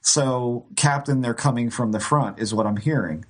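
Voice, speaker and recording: A man in a casual podcast chat, telling a long story at an ordinary pitch. He rambles and restarts his sentences, laughing now and then as he goes. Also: moderate pace, heavy background noise. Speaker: co-host.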